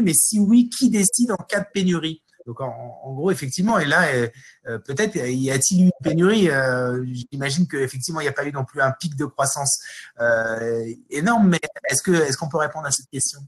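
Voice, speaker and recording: A man moderating a panel over a video call, asking long questions with many restarts. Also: fairly high pitch. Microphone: video call webcam.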